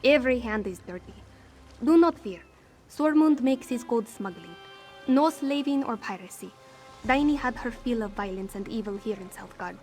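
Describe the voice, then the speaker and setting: A woman's voice: a little high, expressive, moderate pace, a little mellow, faint music behind. A woman voicing a roguish but principled cat-folk smuggler in a fantasy video game, self-assured and speaking of herself in third person. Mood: wry, assured.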